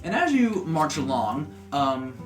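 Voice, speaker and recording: A man running a tabletop game as game master, narrating in a relaxed, rambling way. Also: a little high, a little slow. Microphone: desk USB microphone. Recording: roomy with background music.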